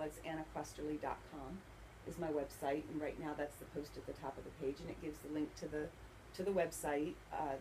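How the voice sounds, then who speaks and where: A woman answering interview questions conversationally, as an author, in an earnest, explanatory way. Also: low-pitched. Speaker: author and bookseller being interviewed. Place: inside a bookstore. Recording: faint background noise.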